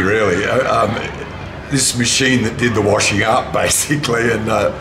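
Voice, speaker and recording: An older Australian man talks in a fairly low voice, thinking his way through an interview answer with hesitations. He is recorded outdoors, with a roomy echo and heavy traffic ambience around him. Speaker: interviewee, musician. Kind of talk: reminiscing interview talk. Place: outdoors at a street cafe wall.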